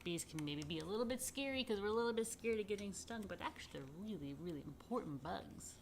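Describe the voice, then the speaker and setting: A woman reading a picture book aloud to children, expressively and unhurriedly, with dramatic pauses and chatty asides. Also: mid-range voice, expressive, slight background noise. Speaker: rabbi reading a children's story. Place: indoors.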